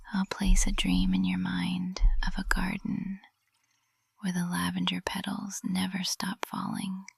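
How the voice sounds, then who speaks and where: Woman acting a breathy, whispering witch teacher in love. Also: medium pitch, dry recording, full character voice. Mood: possessive, soothing. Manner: slow, hushed, intimate, adoring. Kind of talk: intimate ASMR whispered roleplay. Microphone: close ASMR microphone.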